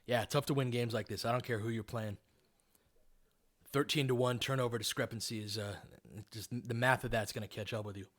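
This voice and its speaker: Man, mid-range pitch, basketball play-by-play host, live commentary, casual, conversational, analytical. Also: fairly even intonation, fairly quick, fairly bright tone.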